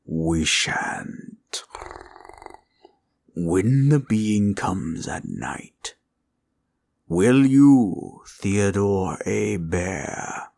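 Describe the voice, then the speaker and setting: A man playing a gentle, protective dragon in a comfort roleplay, speaking formally and tenderly in a solemn, gravelly voice. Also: exaggerated voice. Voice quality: deep, gravelly. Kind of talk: roleplay comfort audio acting.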